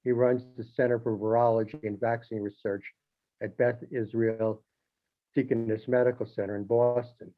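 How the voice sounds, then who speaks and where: A columnist man at a middle pitch, talking in a loose, chatty way that trips over its own words and adds dry jokes. The sound is narrow and thin, as if heard over a phone line. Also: little pitch movement, normal speed. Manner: conversational, with restarts and wry asides. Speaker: newspaper columnist. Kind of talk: informal monologue.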